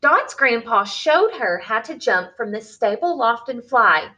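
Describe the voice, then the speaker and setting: A woman reading a picture book aloud for young children in an expressive, deliberate way, as a storyteller. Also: fairly high pitch, highs cut off.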